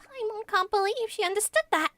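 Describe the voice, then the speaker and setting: A woman voicing a small, excitable, whiny and chirpy fairy girl companion from a video game, with dramatic exclamations. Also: very high-pitched, a little fast, no room sound. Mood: indignant. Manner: exclamatory, dramatic, refers to herself by name.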